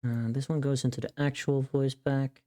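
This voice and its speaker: A woman livestreamer chatting casually as she works, in a halting way, murmuring to herself between remarks. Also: rumbling low, little pitch movement, mellow. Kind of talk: casual chat while working on a livestream.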